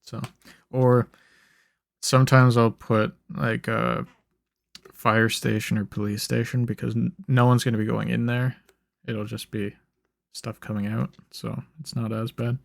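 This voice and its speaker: A young man giving laid-back gameplay commentary in a flat, monotone ramble, his voice a bit hoarse and rough. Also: measured pace, no echo, clean studio sound. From Canada. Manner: monotone, low-key rambling. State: slightly hoarse. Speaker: gaming YouTuber. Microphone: desk microphone.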